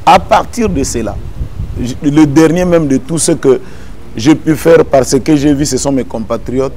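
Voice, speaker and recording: A middle-aged man born in Kinshasa, a gospel singer and pastor, calmly explaining events in a sit-down interview and retelling them with repeated phrases. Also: fairly high pitch, unhurried, a little hiss or hum. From born in Kinshasa, Congo. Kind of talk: calm explanatory interview answers. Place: indoor room with potted plants.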